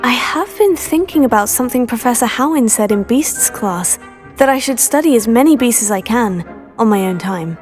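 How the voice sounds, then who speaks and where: A woman's voice: on the high side, lively intonation, moderate pace, a little mellow, natural voice. A woman playing a polite young student. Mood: inquisitive. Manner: polite and inquisitive. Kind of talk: video game voice acting. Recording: game audio with faint music.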